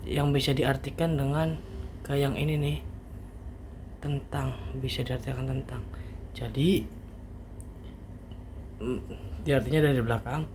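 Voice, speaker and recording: A man giving an informal language lesson as a teacher, explaining haltingly step by step with casual asides. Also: mid-range voice, fairly even intonation. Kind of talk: informal online grammar lesson. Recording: faint background noise.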